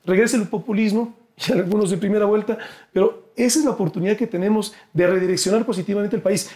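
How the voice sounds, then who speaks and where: A man's voice: sits high, warm tone. A man from Ecuador with an Ecuadorian accent, speaking as a political candidate in an interview, earnest and emphatic as he makes his case. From Guayaquil, Ecuador. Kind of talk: persuasive political interview talk.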